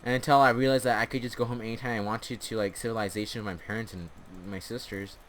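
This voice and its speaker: Bright-voiced man rambling through comic stories. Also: on the low side, steady tone, fast, a little hiss or hum. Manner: rambling, tongue-in-cheek, mock-earnest. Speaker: host of a gaming storytelling channel. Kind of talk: casual comic storytelling over gameplay.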